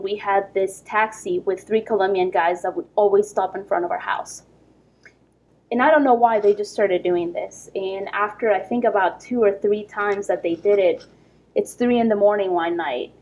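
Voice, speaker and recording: A woman from Ecuador in her thirties recounts a story in relaxed conversation. Her voice has a husky edge. She speaks in a lively, animated way, with plenty of fillers. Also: mid-range voice, poor audio. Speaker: podcast guest. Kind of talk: casual storytelling.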